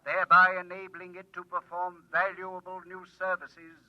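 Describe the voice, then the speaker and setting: Casting: male actor as helpful logic machine, very flat and formal, deadpan, fifties radio sci-fi, dry sound. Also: high-pitched, moderate pace, no room sound, close to an everyday voice. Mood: impassive, precise. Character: unemotional male-voiced computer, precise and helpful. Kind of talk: 1950s radio drama acting. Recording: dull lo-fi old radio recording.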